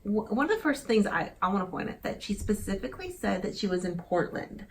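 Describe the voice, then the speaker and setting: A woman co-hosting a casual chat, talking in an animated, emphatic way as she reacts to a clip. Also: mid-range voice, fast talker, slight background noise. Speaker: co-host.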